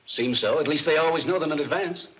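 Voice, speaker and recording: An actor playing a gruff police chief in a 1940s movie serial. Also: male voice, on the high side, quick pace, a bit bright, close to an everyday voice. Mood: concerned. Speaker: film actor. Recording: noisy old 1940s film soundtrack.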